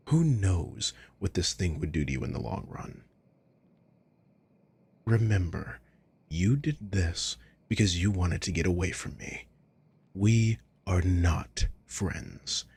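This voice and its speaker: A man playing a sadistic, controlling villain in an ASMR roleplay, breathy and husky, speaking with slow, condescending, taunting deliberation. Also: low-pitched, a little mellow, heavily acted. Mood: cold, taunting. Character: sadistic, controlling male villain toying with a captive.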